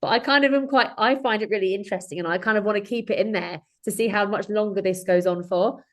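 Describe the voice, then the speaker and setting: A woman's voice: mid-range voice, fast. Female host, 30s, mid-range voice, conversational and rambling, video-call setting. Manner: chatty, informal, rambling with frequent self-corrections. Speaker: webinar host in recruitment. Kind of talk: informal webinar discussion. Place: indoor room at home or office, on a video call. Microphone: video call microphone.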